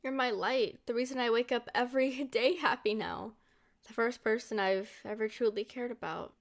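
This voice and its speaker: A woman playing a centuries-old but young-looking vampire girlfriend in an ASMR roleplay, talking sweetly and affectionately to the listener in an expressive way. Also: a little high, normal speed, lightly acted. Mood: tender, loving.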